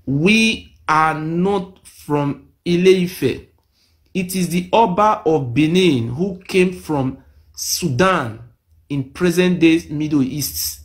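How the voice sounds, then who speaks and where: A middle-aged Nigerian man with a Nigerian accent, speaking emphatically to a phone camera in a small room, repeating his claims insistently. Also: a little high. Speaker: self-made video speaker. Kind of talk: impassioned monologue to camera. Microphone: phone or webcam close to face.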